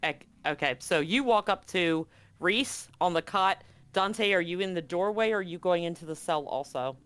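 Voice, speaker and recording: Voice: medium pitch, fairly bright tone, clear, resonant tone, dry recording. Game master narrating horror, suspenseful. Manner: dramatic, suspenseful storytelling with deliberate pauses.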